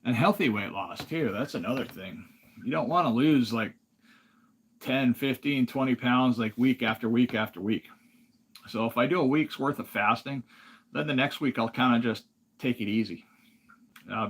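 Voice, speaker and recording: A man from Alaska hosting a casual livestream, talking in a relaxed, rambling way and reading viewer comments aloud. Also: mid-range voice, moderate pace, breathy edge. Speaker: livestream host.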